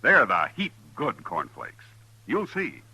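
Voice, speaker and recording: A man's voice: medium pitch, wildly swooping pitch, takes it slowly, pushed and bright, breathy edge, dry recording, poor audio. An American man reading an old-time radio advertisement in a folksy, salesmanlike way. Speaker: commercial announcer. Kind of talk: 1950s radio cereal advertisement. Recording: dry vintage radio recording.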